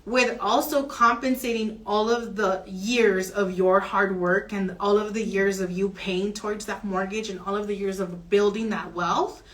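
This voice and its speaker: A woman mortgage broker explaining home financing options in an earnest, steady advisory chat. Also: medium pitch, moderate pace, few pauses.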